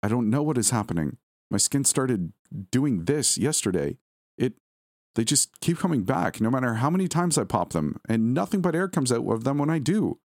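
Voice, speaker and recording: A man's voice: medium pitch, very animated, moderate pace, a little mellow, no room sound, clean studio sound, pitched above the performer's own voice. A man playing a frightened, agitated husband in an audio story. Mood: angry, afraid.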